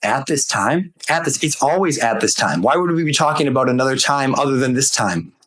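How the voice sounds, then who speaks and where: A man's voice: mid-range voice, expressive, a little fast, fairly bright tone. A man hosting an opinion podcast, ranting casually with exasperated sarcasm and repeating phrases for comic emphasis. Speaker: podcast host. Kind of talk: opinion rant, casual monologue.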